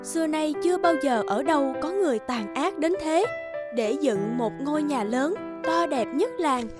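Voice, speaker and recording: Give me a high-voiced female storyteller. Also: animated, moderate pace. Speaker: narrator. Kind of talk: scripted fairy-tale narration. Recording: music behind the voice.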